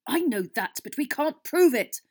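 A woman voicing an intense, anxious teenage boy sports captain in an audiobook, speaking urgently and forcefully. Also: medium pitch, normal speed, lightly acted. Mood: bitter. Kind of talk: audiobook character voice.

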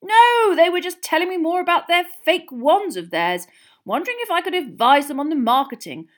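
A woman's voice: very high voice, lively intonation, normal speed, pitched above the performer's own voice. A woman voicing a jovial, boisterous grown wizard man with a breezy, effusive manner for an audiobook.

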